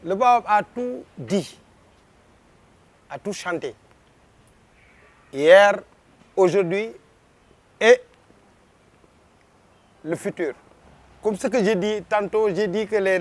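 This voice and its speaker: High-voiced Senegalese man, emphatic speaker. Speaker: singer interviewee. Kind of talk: interview talk.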